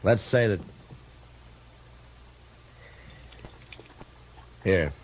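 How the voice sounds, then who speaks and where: A man plays an authoritative American frontier marshal in a western radio drama. His voice is fairly low, with a measured, laconic delivery. The vintage recording is dull with slight noise. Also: lightly acted. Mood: dry, kindly. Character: steady, authoritative male Old West marshal. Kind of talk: old-time radio western acting and narration. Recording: muffled old radio recording with faint noise.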